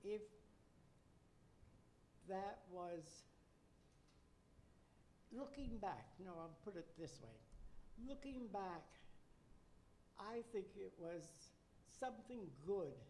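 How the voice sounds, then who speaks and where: An older woman from Nova Scotia who is a retired nurse educator tells her career story in a measured, reflective way with wry asides. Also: on the low side, a little mellow, a little hiss or hum. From Nova Scotia, Canada.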